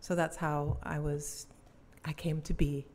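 Belgian-born young woman, lowish voice, chatty and laughing a lot, easygoing interview talk. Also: flat delivery, unhurried, darkish tone. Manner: chatty, laughs easily, self-deprecating. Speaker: cellist and musician guest. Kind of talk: casual interview chat.

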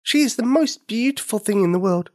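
A woman voicing a loving, earnest little girl in a children's story, with a high, pleading childlike voice. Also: animated, a little fast, lightly acted.

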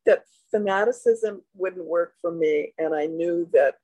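A woman in her seventies, a guest talking candidly and a little haltingly about her experience. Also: on the low side, warm tone, no room sound, dull audio. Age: 70s. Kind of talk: interview.

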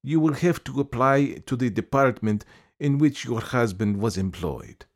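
A man's voice: mid-range voice, animated, a little slow, voice pushed up, lightly acted. Man acting a weary, exasperated bank official. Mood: weary, dismissive. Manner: languid, irritable explaining.

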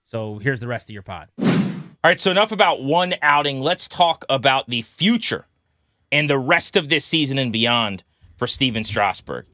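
A man hosting a sports podcast with conversational commentary and deliberate, emphatic phrasing. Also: medium pitch, lively intonation, bright, forceful tone, dry recording.

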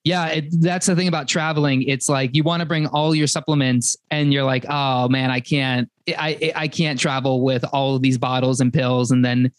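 A man's voice: medium pitch, steady tone, no echo. A man hosting a podcast, upbeat and joking with his guest. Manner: jokey, upbeat, quick asides. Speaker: podcast host. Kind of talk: casual podcast interview.

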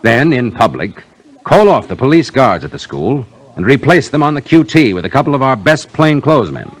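An actor playing a shrewd, brooding police inspector in a 1950s radio crime drama, speaking in a deliberate, commanding, musing way with a husky voice. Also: male voice, mid-range voice, very animated, low-quality recording. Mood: firm, commanding. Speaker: detective inspector.

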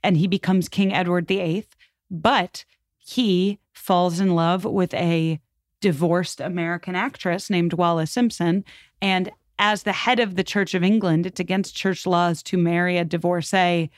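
A woman with a slightly low voice chats as a podcast guest in a very colloquial, animated manner. She explains history casually, peppering it with "like" and acted-out asides. The sound is close and dry. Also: a little slow, dry recording. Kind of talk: casual conversational commentary on history.

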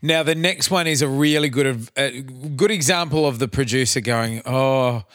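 A man's voice: mid-range voice, moderate pace, a bit bright, no echo, clean studio sound. An Australian man co-hosting a podcast, casually agreeing and trading swearing banter. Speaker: podcast co-host. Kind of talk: casual chat.

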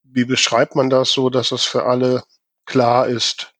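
A man's voice: medium pitch, monotone, unhurried, fairly bright tone, no room sound. German man, close dry sound, asking questions. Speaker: interviewer. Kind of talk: casual interview questioning.

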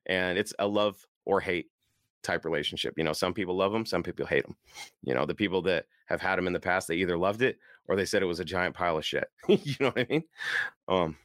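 Male hobby-show host, low voice, hoarse and worn, easygoing rambling delivery, dry recording. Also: fast talker, fairly bright tone, dry recording. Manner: easygoing, chuckles often, rambling enthusiast. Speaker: host of a car enthusiast show. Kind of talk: casual solo chat to listeners.

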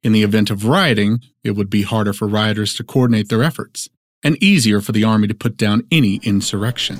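A man playing a formal, measured narrator who sets out a fictional city's history in an audio drama. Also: on the low side, dry recording, natural voice. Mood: measured, factual.

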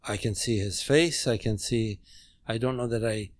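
A man in his nineties who grew up speaking German, recounting memories in a halting, measured way with many restarts, at home. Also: lowish voice, no room sound, clean studio sound. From Poland. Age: 90s. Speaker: interview guest. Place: home dining room.

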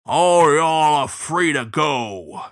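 A relentless old-fashioned bloodhound lawman from a storybook, acted out by a man. The voice is sepulchral and baying, drawling each word slowly and deliberately, with grim menace and a forceful edge. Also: fairly high pitch, animated, pitched above the performer's own voice, exaggerated voice. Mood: disgusted.

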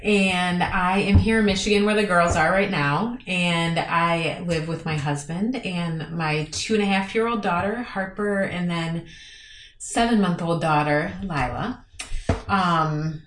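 A woman in her thirties chatting casually with old college friends at a home, in a soft, hesitant way. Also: fairly low pitch, unhurried. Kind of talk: casual chat. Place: home in Michigan. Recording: roomy echo.

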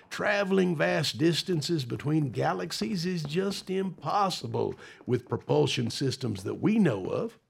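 A man's voice: mid-range voice, vocal fry, husky, full character voice. A man acting in an audio drama as a confident, enthusiastic time-travel scientist who explains things informally.

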